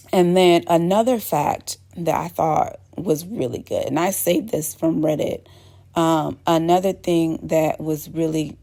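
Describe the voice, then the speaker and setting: A woman's voice: lowish voice, warm tone. A woman host talking casually to her viewers, rambling with many fillers and restarts. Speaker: channel host. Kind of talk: casual talk to camera.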